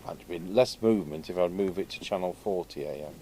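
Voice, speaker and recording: A man's voice: deep voice, brisk. A man giving casual hands-on commentary as he works, muttering short remarks to himself. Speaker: radio repair vlogger. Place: indoors at an electronics workbench. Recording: clear background noise from a workshop. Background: background noise.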